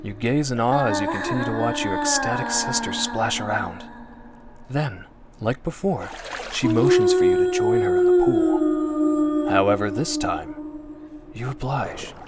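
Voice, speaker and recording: Animated man narrating horror over music. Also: a little high, measured pace. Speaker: narrator of a horror audio drama. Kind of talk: dramatic second-person horror narration. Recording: music behind the voice.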